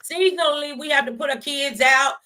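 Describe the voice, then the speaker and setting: A woman's voice: high-pitched, a little fast. A middle-aged woman chats candidly and animatedly as the host of a faith-based talk show. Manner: animated, candid, acts out quoted remarks.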